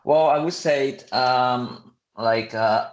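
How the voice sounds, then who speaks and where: A young man from Vietnam with a Vietnamese accent, answering interview questions casually and haltingly, with lots of filler words. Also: medium pitch. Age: young adult. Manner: halting, conversational, many fillers and hedges. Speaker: interview guest, international student. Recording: echoey room sound.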